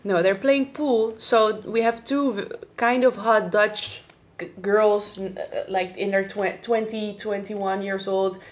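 A woman's voice: medium pitch, fairly even intonation, measured pace. A woman chatting casually and teasing as a podcast co-host, swearing now and then. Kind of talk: casual chat. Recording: dull lo-fi sound.

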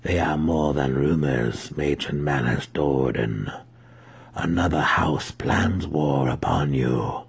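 A man reading a story aloud, voicing a mocking otherworldly demon handmaiden in a slow, overly smooth voice. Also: extremely low pitch, voice pushed down, full character voice. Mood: mocking, calm.

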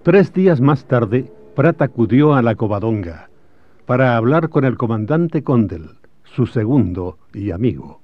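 A man with a Chilean accent narrates a historical radio drama in a formal, measured storytelling manner. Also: mid-range voice, no echo. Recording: dull old radio drama recording.

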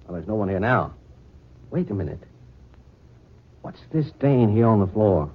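An actor playing a no-nonsense, doubting police captain, brusque and questioning, in a 1940s radio drama. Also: male voice, on the low side, expressive, normal speed, breathy edge. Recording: dull 1940s radio broadcast recording.

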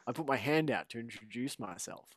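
A man's voice: mid-range voice, expressive, brisk, a bit bright, dry recording. A man co-hosting a sports podcast, chatting playfully and reading a story aloud. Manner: playful, laughs often. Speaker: podcast co-host.

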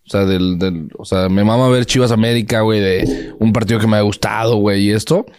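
A man's voice: on the low side, fairly even intonation, fairly quick, a little mellow, slight background noise. A young adult man with a Mexican Spanish accent, co-hosting a fan podcast. He teases his friend and gives confident match predictions in slangy talk. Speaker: podcast co-host. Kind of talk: casual chat.

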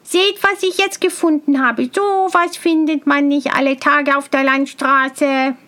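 Woman voicing a high-pitched, cheerful young simpleton lad. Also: steady tone, unhurried, fairly bright tone. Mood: excited, proud. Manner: exclaiming, carefree and naive. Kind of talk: fairy tale storybook reading.

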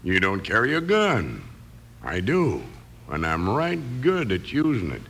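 Deep, menacing western gunslinger. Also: male voice, huge pitch swings, a little slow, a bit bright, exaggerated voice. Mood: cocky. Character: menacing, cocky big gunslinging ex-convict. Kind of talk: old-time western radio acting. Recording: faintly noisy vintage radio recording.

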